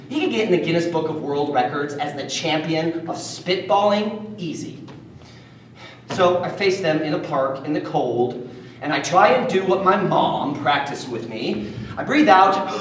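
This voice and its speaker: A man giving an animated solo reading of his play as a child narrator. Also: fairly high pitch, a little hiss or hum. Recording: distant echoey room.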